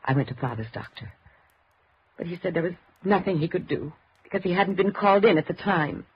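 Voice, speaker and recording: An actress playing a suspicious, grieving daughter in a 1950s radio drama, halting and emotionally insistent. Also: female voice, on the low side, animated, lightly acted. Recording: dull, noisy old radio recording.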